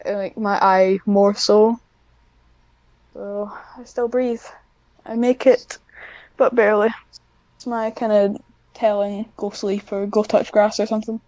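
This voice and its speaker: A woman's voice: medium pitch, flat delivery, a little slow, long pauses, mellow, dry recording, poor audio. A young woman chatting casually as an interview guest, with a self-deprecating, dryly joking manner. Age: young adult. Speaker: podcast guest, script writer.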